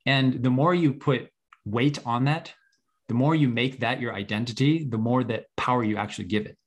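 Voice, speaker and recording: A man's voice: medium pitch, moderate pace. A man hosting a group discussion, explaining and advising in an earnest, conversational way. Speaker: host guiding a group discussion.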